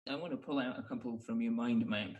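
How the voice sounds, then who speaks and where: A man's voice: mid-range voice, fast, a little mellow, muffled recording. A man hosting a podcast in a conversational style, reflective and often questioning. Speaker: podcast host. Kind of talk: casual discussion.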